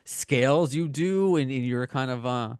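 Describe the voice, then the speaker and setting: A man's voice: mid-range voice, a little slow, a little mellow, smooth, dry recording, clean studio sound. A man hosting a podcast, asking long rambling questions with many fillers and restarts. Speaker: podcast host. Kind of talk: interview questions.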